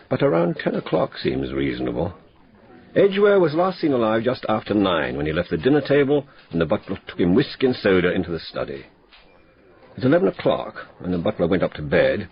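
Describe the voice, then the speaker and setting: An actor playing a businesslike police inspector in a radio drama, with a matter-of-fact way of briefing. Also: male voice, sits low. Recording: dull lo-fi radio drama audio.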